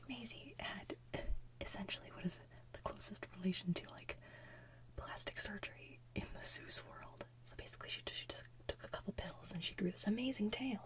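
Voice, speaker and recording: A woman's voice: medium pitch, far from the mic. A young woman whispering a casual retelling of a children's story, full of "so basically" and "like".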